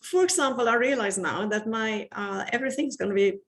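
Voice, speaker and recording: A woman's voice: a little high, brisk. Swedish-accented woman giving a webinar talk. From Sweden. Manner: chatty, self-deprecating, restarts often. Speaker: guest speaker, researcher. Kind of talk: webinar presentation.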